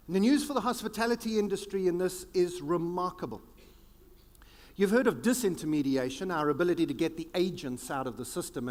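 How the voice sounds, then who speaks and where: South African male keynote speaker, emphatic and theatrical, slightly high voice, large reverberant hall. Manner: dramatic pauses, sweeping emphatic delivery. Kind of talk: conference keynote talk.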